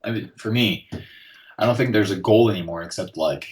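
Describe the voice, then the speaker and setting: A man in his forties, a guest artist chatting casually on a podcast, relaxed and rambling with lots of fillers. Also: lowish voice, brisk. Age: 40s.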